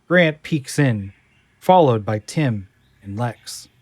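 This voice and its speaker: A man's voice: mid-range voice, lively intonation, slow, darkish tone, no echo. A man narrating an audio drama in a scripted, dramatic storytelling style.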